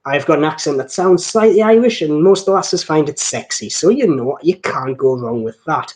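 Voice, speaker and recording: A man's voice: on the high side, animated, moderate pace, darkish tone. A man from north-east England with a Geordie accent, hosting a casual live stream in a rambling, jokey, self-deprecating way as he chats to viewers. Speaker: host of a watch channel live stream.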